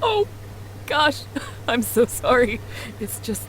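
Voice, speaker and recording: A woman voicing a young female game traveler who is sympathetic but easily amused, expressive and teasing. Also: on the high side, takes it slowly, a little hiss or hum, low-quality recording. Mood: amused pity. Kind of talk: video game voice acting. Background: background music.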